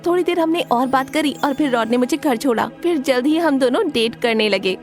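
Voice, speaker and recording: High-pitched, feisty teenage schoolgirl. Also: a little fast, faint music behind. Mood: narrating, confiding. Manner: expressive, dramatic. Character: guarded, feisty teenage schoolgirl narrating her own story. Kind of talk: animated story narration with acted dialogue.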